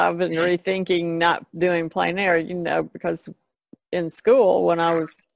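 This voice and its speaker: A woman's voice: on the low side, vocal fry, no echo. A woman chatting casually as an artist guest on a podcast.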